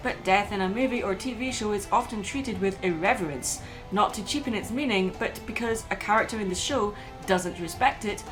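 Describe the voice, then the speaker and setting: A woman host reading a scripted video essay in an opinionated, emphatic way. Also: mid-range voice. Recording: music playing behind the voice.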